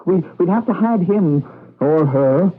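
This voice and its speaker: A man's voice: sits high, lively intonation, unhurried, slightly rough, heavily acted. An actor playing a wary, doubting young man in a radio drama, speaking in a hesitant, questioning way. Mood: anxious, hesitant. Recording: muffled narrowband 1980s radio broadcast.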